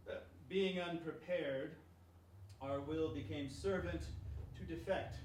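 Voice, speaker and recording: A man's voice: a little high, takes it slowly, darkish tone. A man playing a tormented, volatile warrior lord in theatrical classical stage verse. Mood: guarded. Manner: theatrical, swinging from outbursts to murmurs. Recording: very echoey room, distant mic, faint noise.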